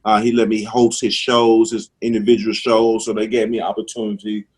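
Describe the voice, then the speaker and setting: A middle-aged comedian from Mississippi with a strong Southern accent and a slight lisp, chatting casually in a laid-back, drawling way. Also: male voice, on the low side, little pitch movement, moderate pace, breathy edge. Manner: laid-back, drawling, says "man" a lot. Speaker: stand-up comedian guest. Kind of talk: casual interview chat.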